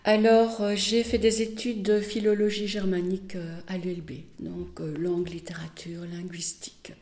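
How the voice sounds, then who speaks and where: A woman, giving a measured personal testimony in an interview, pausing often with fillers. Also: mid-range voice, little pitch movement, darkish tone, echoey room. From Belgium, Wallonia. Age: 60s. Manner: measured, hesitant with frequent fillers. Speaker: interviewee, artist.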